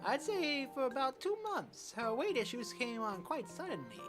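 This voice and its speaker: A performer voicing a blunt, teasing old male gym coach in a high, bouncy put-on voice in a fan dub. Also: fast talker, music in the background, lightly acted. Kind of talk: amateur fan dub of a medical drama.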